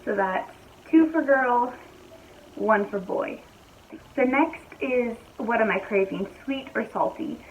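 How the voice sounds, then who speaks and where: A young woman chats casually from home, her voice a little high and slightly raspy from hoarseness. She talks in an easygoing, rambling way, thinking aloud as she goes. The recording sounds a bit muffled and lo-fi, with a faint hiss behind her. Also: slow pace. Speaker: vlogger. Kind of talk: casual chat to camera. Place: at home, indoors.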